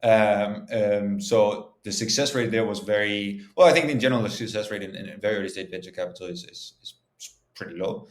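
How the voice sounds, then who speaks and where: A man from Denmark with a low-pitched voice answers interview questions in an informal, explanatory way. The recording is noticeably roomy and echoey. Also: fairly quick, hardly any pauses. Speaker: startup founder and CEO, interview guest.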